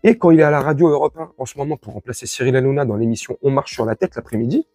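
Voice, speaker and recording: A man from France with a husky voice, giving an informal, crude and sarcastic opinion rant to his followers as an online commentator. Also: medium pitch, rapid-fire, a little mellow, no room sound, studio quality, faint music behind. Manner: crude, slangy, sarcastic, indignant.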